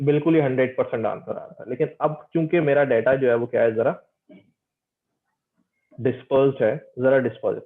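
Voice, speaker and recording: A man teaching an informal, interactive technical lecture, repeating key phrases and often checking that his students follow. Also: medium pitch. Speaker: teacher giving an online lecture. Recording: tinny phone-like call audio.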